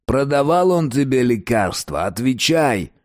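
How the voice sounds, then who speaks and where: A man's voice: medium pitch, big pitch swings, unhurried, a little mellow. A man voicing a vicious male gangster in an audiobook, snarling threats and barking short, menacing orders. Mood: furious threat. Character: vicious, menacing male criminal enforcer called Satan.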